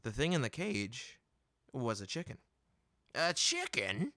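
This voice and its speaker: A man reading a novel aloud in an expressive storytelling manner, close to the microphone. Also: mid-range voice, moderate pace, no room sound. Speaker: audiobook reader. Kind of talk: scripted fiction narration. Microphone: close microphone, dry room.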